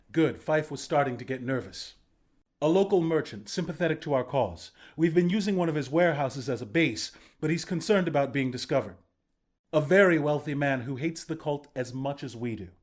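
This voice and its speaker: A man's voice: on the high side, animated, moderate pace. A man playing a battle-hardened resistance commander in a video game, plain-spoken, protective and reassuring. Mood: businesslike. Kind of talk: video game character voice lines.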